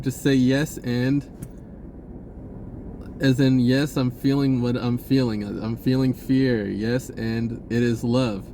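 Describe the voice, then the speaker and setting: Man, medium pitch, casual rambling monologue, halting, self-interrupting, informal, noticeable background noise. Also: warm tone. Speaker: spirituality vlogger.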